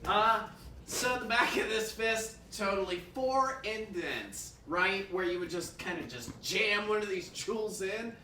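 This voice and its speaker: A man playing a tabletop game, bantering casually in an excitable, joking way. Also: high-pitched. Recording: echoey room, distant mic, faint noise.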